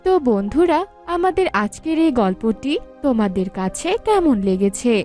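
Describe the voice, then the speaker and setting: Woman storyteller for moral cartoons: high-pitched scripted narration with a music bed. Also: very animated, normal speed, dark tone. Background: background music.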